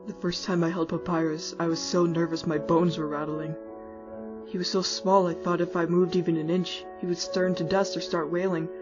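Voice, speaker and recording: An actor plays a laid-back skeleton guy narrating a cartoon comic dub as a wistful older brother. He sounds casual and wry, with music clearly behind a slightly dull recording. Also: medium pitch, level tone, moderate pace, lightly acted. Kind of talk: scripted first-person storytelling in a comic dub. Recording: muffled audio with music underneath.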